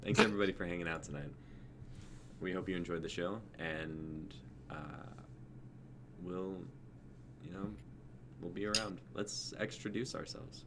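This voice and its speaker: A man's voice: deep voice, moderate pace, pauses often. A young man hosting a livestream in casual sign-off chat. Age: young adult. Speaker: livestream host. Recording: background noise, livestream audio.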